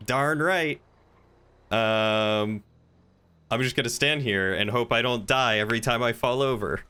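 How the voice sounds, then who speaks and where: Bright-voiced man streaming casually. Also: medium pitch, unhurried, clean tone. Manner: rambling, thinking aloud, reading chat aloud. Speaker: livestreamer. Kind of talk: casual chat while streaming game roleplay.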